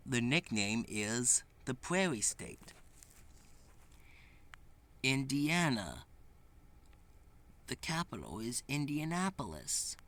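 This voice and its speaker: Man, storybook read-aloud, slow halting delivery, very animated intonation, deliberate. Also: medium pitch. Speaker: storybook reader. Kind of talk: children's picture book read-aloud.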